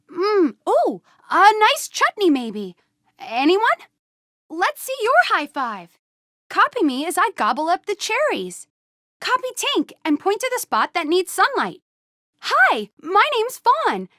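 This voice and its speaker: A woman's voice: extremely high pitch, bright, forceful tone, no echo, clean studio sound, exaggerated voice. A woman voicing a playful, exuberant young animal fairy for a video game, encouraging and eager to tell stories. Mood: playful pondering, then cheerful, eager. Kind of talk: animated video game character dialogue, storytelling and instructions.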